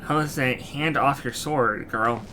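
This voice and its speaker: A man's voice: medium pitch, unhurried, pushed and bright, a little hiss or hum. Wary, sardonic male fighter. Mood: skeptical, dry. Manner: casual, dry, skeptical. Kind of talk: scripted fantasy video game acting.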